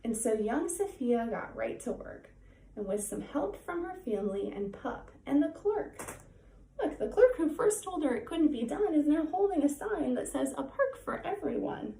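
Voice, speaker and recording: A woman with a high, mellow voice reads a children's picture book aloud. She takes her time and is expressive, adding chatty asides for young listeners. The room is echoey, with faint noise behind her. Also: normal speed, gravelly. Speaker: volunteer storyteller reading a picture book to children.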